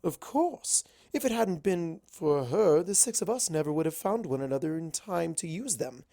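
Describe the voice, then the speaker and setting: A refined young lady unicorn from a storybook, acted out by the narrator. She speaks high and primly, with theatrical emphasis. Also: lively intonation, normal speed, warm tone, natural voice. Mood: assured. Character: Rarity, refined dramatic young female unicorn pony.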